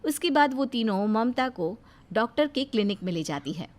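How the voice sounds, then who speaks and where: A woman's voice: fairly high pitch, normal speed. Woman narrating a cartoon story. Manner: steady, dramatic.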